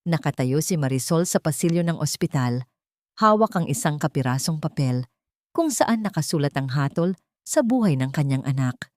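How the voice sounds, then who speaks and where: A woman with a Filipino accent narrating a dramatic story in a solemn, deliberate manner. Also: low voice, animated, a little mellow, no echo, clean studio sound. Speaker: audiobook narrator.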